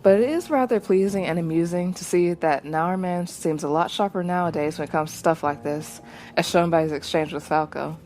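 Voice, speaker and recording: A woman hosting a podcast, giving thoughtful solo commentary in a measured, reflective way with small digressions. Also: fairly low pitch, a little mellow, slight background noise. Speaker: podcast host reacting to anime.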